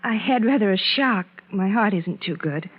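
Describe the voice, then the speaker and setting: A woman's voice: medium pitch, expressive, moderate pace, husky. An actress playing a hurt, timid young woman who speaks hesitantly and pleadingly in a 1940s radio drama. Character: hurt, timid young wife, a lady's companion. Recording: tinny, narrow vintage 1940s radio recording.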